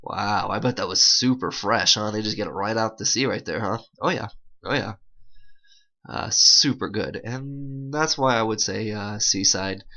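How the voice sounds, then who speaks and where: A middle-aged man doing a casual, halting interview voice-over with informal, slangy phrasing, as if interpreting live. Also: fairly low pitch, bright, forceful tone, muffled recording.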